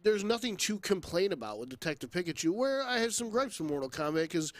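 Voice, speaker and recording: A male podcast panelist with a somewhat high voice and a dry, close sound. He gives his opinions casually, in a halting flow full of filler "like". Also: sing-song, no echo.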